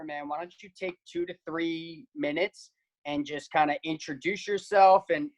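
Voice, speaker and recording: A man co-hosting an interview over a video call, upbeat and energetic, with frequent fillers. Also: a little high, poor audio. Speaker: podcast co-host.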